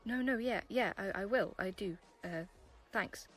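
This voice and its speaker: A woman's voice: medium pitch, measured pace, faint music behind, close to an everyday voice. A woman playing a nervous, defensive young underground performer in a dystopian audio drama. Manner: hesitant, protesting.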